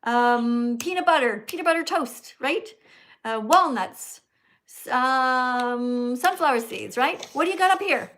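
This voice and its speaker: A high-pitched older woman chatting through a livestream in a teacherly way. She keeps tagging her lines with "okay?" and "right?" as she coaches callers and thanks viewers. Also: animated, unhurried, fairly bright tone. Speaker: livestream host. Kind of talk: casual livestream chat with callers.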